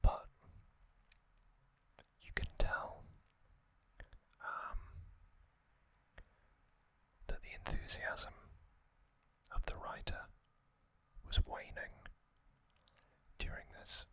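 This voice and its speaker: A man hosting an ASMR channel, softly whispering trivia in a relaxed chat with frequent "uh" and "um" fillers, close to a sensitive microphone. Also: drawn-out words, long pauses.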